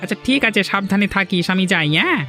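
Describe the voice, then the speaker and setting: A man's voice: sits high, animated, quick pace, pushed and bright, soft background music. A man voicing a cautious village fisherman who earnestly warns his friend in a cartoon. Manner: earnest, warning. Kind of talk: cartoon voice acting.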